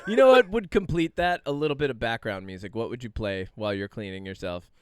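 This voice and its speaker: A man's voice: mid-range voice, lively intonation, quick pace, clear, resonant tone, dry recording, studio quality. A young man hosting a casual comedy podcast, teasing his co-host and often laughing and swearing.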